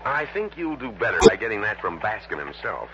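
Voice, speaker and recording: An actor playing a businesslike American insurance man in a 1960s radio drama, explaining things deliberately and matter-of-factly. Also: lowish voice, very animated. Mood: businesslike briefing. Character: businesslike male insurance office man briefing an investigator. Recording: noisy, dull lo-fi old radio recording.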